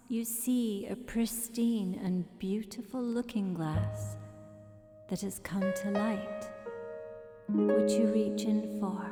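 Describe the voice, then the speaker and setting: A woman reading a poetic narrative in a gentle, measured, meditative way, with pauses between short phrases. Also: medium pitch. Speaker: poet and narrator. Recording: long reverberant echo with music behind. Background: music.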